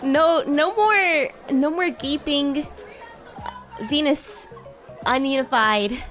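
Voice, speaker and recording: A woman's voice: high-pitched, slow, fairly bright tone, faint music behind, low-quality recording. A woman streaming an improvised roleplay as a bratty, playful demon schoolgirl, chatting teasingly with her listener. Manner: teasing, giggly, addresses listener as human. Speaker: VTuber streamer in character, chatting with viewers. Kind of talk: improvised in-character roleplay livestream chat.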